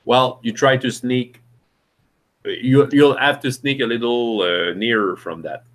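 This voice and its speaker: A man's voice: medium pitch, steady tone, fairly bright tone. A man with French as his first language, narrating a tabletop game as game master with many restarts. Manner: halting, many restarts and fillers.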